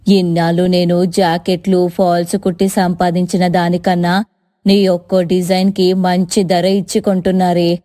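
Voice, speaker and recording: A woman's voice: lowish voice, flat delivery, moderate pace, dark tone, lightly acted. A woman voicing a humble woman of a village tailoring family in a cartoon moral story, speaking earnestly. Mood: admiring. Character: humble women of a village tailoring family, mother-in-law and daughter-in-law. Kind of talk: animated moral story dialogue.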